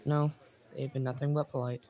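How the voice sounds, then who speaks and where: A woman's voice: rumbling low, level tone, fairly quick, muffled recording. A woman acting firm store manager and reporter parts in an amateur radio drama. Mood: firm, defensive. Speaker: student actor in a class radio drama. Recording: music playing behind the voice.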